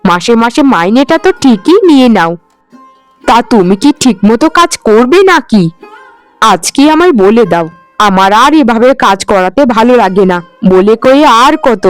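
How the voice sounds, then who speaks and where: Woman voicing a haughty, high-voiced household mistress. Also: close to an everyday voice. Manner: reproachful, imperious. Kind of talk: Bengali cartoon voice acting.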